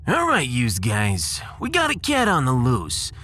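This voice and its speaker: A man's voice: medium pitch, huge pitch swings, measured pace, bright, forceful tone, full character voice. A man playing a brash working-class spaceship engineering boss, in a comic audiodrama. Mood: cocky. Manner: brash, colloquial wise-guy talk.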